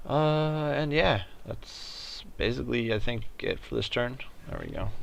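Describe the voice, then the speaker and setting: A man giving casual commentary over a strategy game, thinking out loud in a hesitant, halting way. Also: mid-range voice, vocal fry, a little hiss or hum. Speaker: gaming video host.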